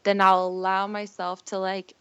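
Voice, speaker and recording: A woman's voice: mid-range voice, monotone, moderate pace, sharp tone, clean tone, dry recording, highs cut off. A young American woman telling her personal story earnestly and from the heart as a podcast guest. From Costa Rica and Chile until six, then the US. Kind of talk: personal storytelling, casual conversation.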